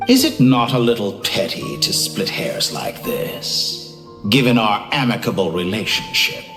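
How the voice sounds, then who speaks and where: A man voicing a smooth-talking, haughty villain scientist in a video game. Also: fairly low pitch, big pitch swings, a little slow, echoey room, music in the background. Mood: mocking.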